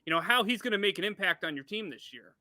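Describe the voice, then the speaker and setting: A man's voice: high-pitched, animated, fast, bright, forceful tone, no echo, studio quality. A man hosting a sports interview, putting questions directly to his guest in a presenter's manner. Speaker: sports show host, interviewer.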